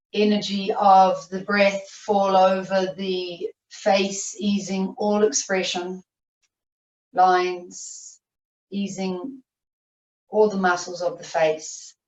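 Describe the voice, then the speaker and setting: A woman yoga teacher leading a guided meditation, giving soothing, unhurried instructions with long pauses. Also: fairly low pitch. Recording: roomy, distant, muffled video-call audio.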